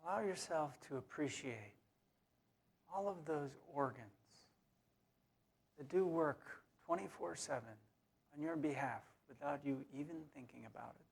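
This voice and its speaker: A man leading a guided meditation in a soothing, unhurried way, leaving long pauses between short phrases. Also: on the high side, creaky, husky. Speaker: meditation leader.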